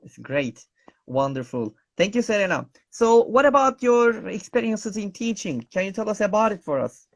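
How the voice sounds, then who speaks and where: A man's voice: sits high, sing-song, normal speed. A man hosting an online teacher talk show over a video call, friendly and hesitant with many fillers and nonnative phrasing. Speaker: host.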